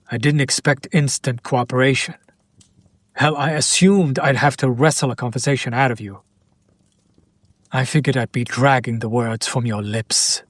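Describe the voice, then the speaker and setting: Man playing a slow-talking, teasing demon captor. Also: medium pitch, no room sound. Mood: frustrated, threatening. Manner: slow, teasing, deliberate, with breathy pauses. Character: male demon buyer, smug, teasing, possessive, bemused by his captive. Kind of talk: intimate roleplay audio drama monologue.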